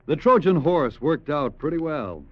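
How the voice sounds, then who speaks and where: A man's voice: a little high, expressive, a little slow, no echo. A man reading a scripted announcement in 1950s radio style. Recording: dull old radio recording.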